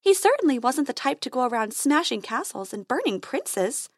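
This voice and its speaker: Woman as a light, high-voiced tooth fairy. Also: big pitch swings, normal speed, no room sound, clean studio sound, heavily acted. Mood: concerned. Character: kind, capable female tooth fairy dentist. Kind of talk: storybook character voice.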